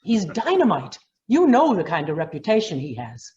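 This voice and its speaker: A woman's voice: lowish voice, huge pitch swings, normal speed, a little mellow, dull audio. A woman playing a blunt, no-nonsense gangster's lawyer in an old time radio crime drama, speaking emphatically. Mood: alarmed, urging.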